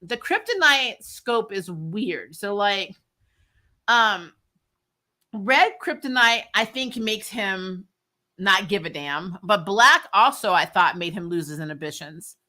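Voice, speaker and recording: A woman's voice: medium pitch, animated, takes it slowly, sharp tone. A woman hosting a casual recap livestream, talking informally and chattily about shows and viewer comments. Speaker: host of a TV recap show.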